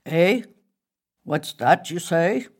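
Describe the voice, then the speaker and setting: An old, experienced spruce tree from a storybook as a woman narrator acts him out, speaking slowly and on the low side. He is proud and given to boasting, with a dry, dark edge. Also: animated, slightly rough, exaggerated voice. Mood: curious, welcoming. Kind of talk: storybook character voice.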